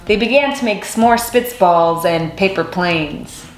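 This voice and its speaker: A woman reading a children's picture book aloud with expressive storytelling. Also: on the low side, measured pace, echoey room, poor audio.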